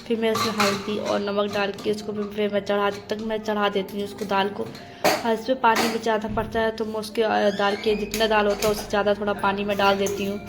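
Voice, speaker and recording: A young woman homemaker narrating her daily chores in a steady, matter-of-fact voice-over, step by step. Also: mid-range voice, fairly quick. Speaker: homemaker vlogger. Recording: voice-over with loud background music.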